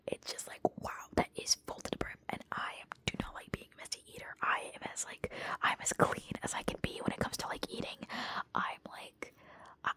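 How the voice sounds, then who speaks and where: A young woman whispering a rambling late-night vlog into her phone at home, casual and full of swearing. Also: a bit bright. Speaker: vlogger.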